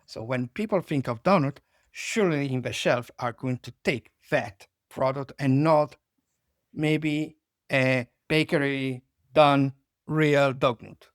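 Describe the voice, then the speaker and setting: A man's voice: medium pitch, takes it slowly, no echo. A middle-aged man hosts an interview. He muses aloud, searches for words and restarts his phrases. His voice sounds close and dry. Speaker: podcast host. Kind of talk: conversational interview.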